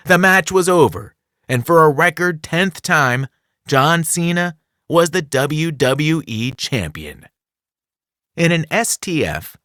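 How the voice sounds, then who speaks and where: A man reading a children's nonfiction book aloud as scripted narration, enunciating clearly with a dramatic storytelling delivery. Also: mid-range voice, a bit bright, dry recording, studio quality. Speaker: audiobook reader.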